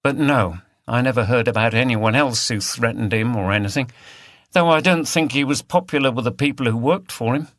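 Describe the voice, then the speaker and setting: A man's voice: medium pitch, brisk, a bit bright, dry recording, studio quality, close to an everyday voice. A man voicing a blunt, defiant village animal rights woman in an audiobook, with a flippant, forthright manner. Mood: serious.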